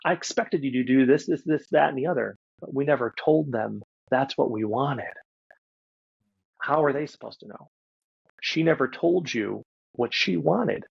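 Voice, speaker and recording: Gravelly, husky man coaching. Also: medium pitch, lively intonation, moderate pace, dull audio. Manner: emphatic, instructive, addressing listener directly. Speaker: mindset and relationship coach. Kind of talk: live one-to-one coaching talk.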